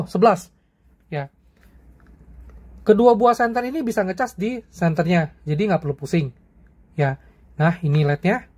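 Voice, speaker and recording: A man's voice: high-pitched, a little mellow. A young man casually reviewing and comparing flashlights, chatty and slangy, often tagging his sentences with friendly fillers. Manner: chatty, slangy, frequent "ya" and "teman-teman". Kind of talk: casual product review vlog.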